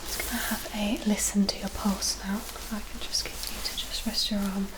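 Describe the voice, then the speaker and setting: A woman softly whispering a medical ASMR roleplay as a doctor, breathy, gentle and reassuring. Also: mid-range voice, level tone, normal speed. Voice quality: breathy, mostly whispered soft voice. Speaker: ASMR performer roleplaying a doctor giving a physical exam. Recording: roomy echo, distant mic, clear background noise.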